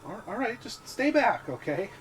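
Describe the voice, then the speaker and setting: A man's voice: high voice, sing-song, moderate pace. An actor playing a nervous, placating young man in a horror audio drama, hesitant and stammering. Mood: frightened, placating. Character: nervous, placating young male podcaster, the threatened roommate. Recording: clearly noisy track with ambience.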